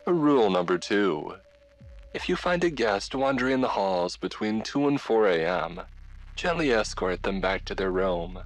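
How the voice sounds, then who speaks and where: A man performs an eerily cheerful host of a 1950s hotel instructional film. He has a transatlantic accent and a light, practiced salesman's patter with odd pauses. His pitch is lifted, and music plays behind him. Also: lively intonation, rough recording. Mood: pleasantly firm. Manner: light, upbeat, practiced, oddly pausing salesman delivery.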